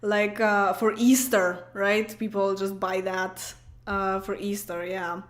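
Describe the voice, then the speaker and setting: A woman's voice: mid-range voice, little pitch movement, slow. A woman in her late twenties with a Polish accent, chatting casually on a livestream and answering comments, with lots of fillers, restarts and laughs. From Poland. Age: late 20s. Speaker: streamer.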